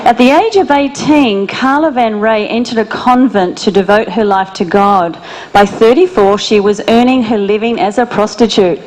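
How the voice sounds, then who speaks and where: Woman interview host, upbeat and chatty, mid-pitched. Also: normal speed, darkish tone, rough recording. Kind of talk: reading an introduction, then chatting.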